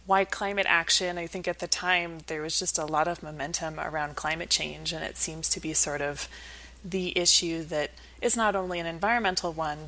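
A woman's voice: low-pitched, pushed and bright, low-quality recording. A woman speaking as an academic guest in an interview, explaining things in a reflective, conversational way. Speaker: academic researcher as podcast guest.

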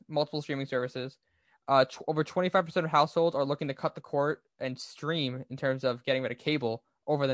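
A man's voice: a little high, a bit bright, no room sound, studio quality. A man hosting a podcast, giving opinionated, explanatory business commentary in a conversational way. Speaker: podcast host.

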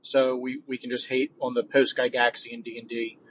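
A man's voice: medium pitch, level tone, normal speed. A man leaving a casual voicemail as a podcast listener, speaking in a frank, conversational way. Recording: dull, noisy, distant voicemail recording.

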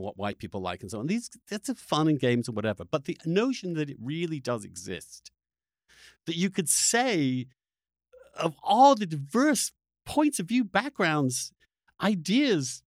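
A man's voice: on the high side, no echo, clean studio sound. A man hosting a podcast, talking in an opinionated, unscripted way, emphatic and often restarting his phrases. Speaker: podcast host.

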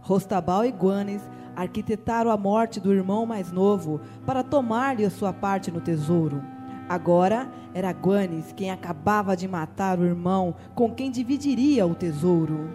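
A narrator reading a radio drama in a measured storytelling manner. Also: medium pitch, warm tone, faint music behind.

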